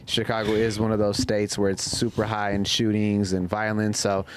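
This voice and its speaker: A man's voice: fairly low pitch, completely flat delivery, moderate pace, background noise. Slangy young man in casual conversation. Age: young adult. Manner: slangy, profane. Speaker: podcast co-host. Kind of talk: casual group chat. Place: small room with couches, indoors.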